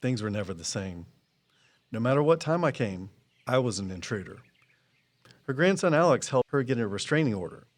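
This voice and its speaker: Casting: knowledgeable male woodsman, guarded and folksy, mid-pitched, very varied intonation, audio drama. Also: sing-song, normal speed, pauses often. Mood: regretful. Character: Walt, guarded, knowledgeable woodsman and conservation volunteer. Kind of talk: full-cast audio drama dialogue.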